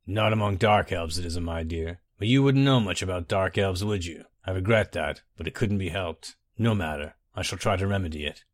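A man voicing a wry, menacing dark elf general who speaks softly and in a measured way. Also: low-pitched, no room sound, studio quality, close to an everyday voice.

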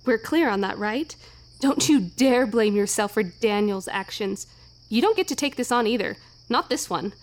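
Woman playing a protective, insistent wife, slightly high-voiced. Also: animated, normal speed, close to an everyday voice. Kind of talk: audio drama acting.

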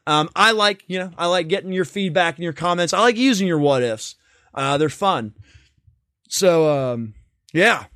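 Animated, bright-voiced young man hosting. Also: on the high side, normal speed, dry recording, studio quality. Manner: animated, laughing, breaks into loud shouted voices. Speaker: podcast host. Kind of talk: casual podcast banter.

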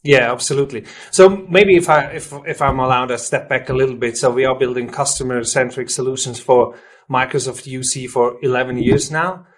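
A man from Switzerland, a native German speaker with a Swiss German accent, explaining things informally and haltingly as an interview guest, often rephrasing himself. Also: medium pitch, fairly even intonation. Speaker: software company representative, interview guest. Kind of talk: conversational product interview.

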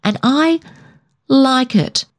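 A woman's voice: high-pitched, very slow, pitched above the performer's own voice. A woman reader voicing an anxious, wry wife in a story, plaintive and exclaiming.

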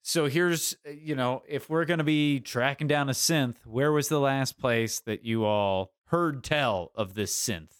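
A man playing a naive, excitable bunker dweller in an improvised roleplaying audio drama, casual and halting. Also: medium pitch, clear, resonant tone, lightly acted. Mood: curious, unsure. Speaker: player in a roleplaying game. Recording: music bed under the voice.

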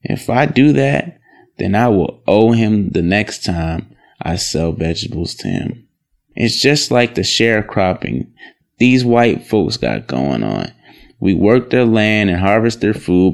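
Man to play devoted young farmer in audio drama, creaky lowish voice, plain-spoken and earnest.

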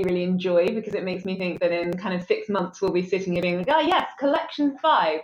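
A woman's voice: mid-range voice, highs cut off. A woman analyst explaining a security story on a podcast, articulate with a dry sense of humor, thinking aloud. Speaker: threat intelligence analyst. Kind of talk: conversational analysis.

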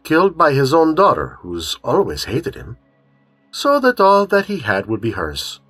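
A cold, scheming young man speaking with calm contempt, as a male narrator voices him. His voice is pitched a little above the reader's own low voice and stays unhurried and controlled. A faint breathiness runs through it. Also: breathy edge, no echo, faint music behind, exaggerated voice.

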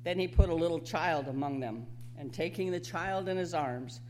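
A woman's voice: deep voice, moderate pace, darkish tone. A woman reading scripture aloud as a lay reader in worship. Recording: noisy recording with hum.

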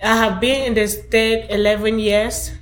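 A woman from Cameroon who owns a hair braiding salon, guesting on a podcast. Her voice sits a little high and she speaks slowly. She gives brief, simple answers. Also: little pitch movement. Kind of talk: casual interview.

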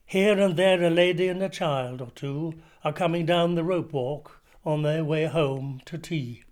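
Man acting as Victorian gentleman diarist, fond, higher-pitched, literary reading, measured and savouring. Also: natural voice. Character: Charles Dickens, Victorian writer fondly reading his journal.

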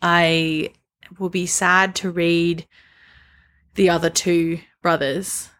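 A woman's voice: lowish voice, level tone, slow pace, fairly bright tone. A woman co-hosting a podcast, talking casually in an informal, musing way. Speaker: book podcast co-host. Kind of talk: casual chat.

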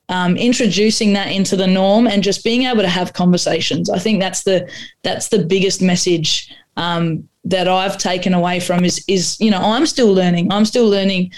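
A young adult woman with an Australian accent, a Croatian native speaker, answering interview questions with many restarts and fillers. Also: medium pitch. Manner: flat delivery, frequent restarts and fillers. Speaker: guest, AFLW footballer.

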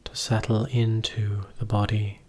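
A man leading a guided meditation as a teacher, giving gentle, measured instructions in short phrases with pauses between them. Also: on the low side, completely flat delivery, slightly rough.

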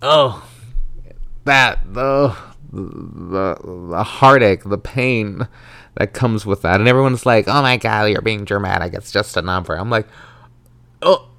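A thirty-year-old man from the American South hosting his own podcast, talking solo in a chatty, animated way, dramatizing and wandering off on tangents. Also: fairly low pitch, unhurried, gravelly, clear, resonant tone, no room sound. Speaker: podcast host.

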